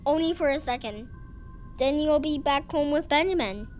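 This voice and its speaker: Woman as high-voiced, coaxing sinister ritual leader. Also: normal speed, a little hiss or hum, close to an everyday voice. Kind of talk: immersive audio drama acting.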